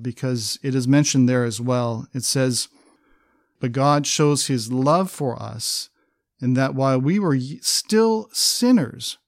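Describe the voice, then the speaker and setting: Male podcast co-host, mid pitch, dry close mic, enthusiastic, reads verses aloud. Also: no echo. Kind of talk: conversational Bible study podcast.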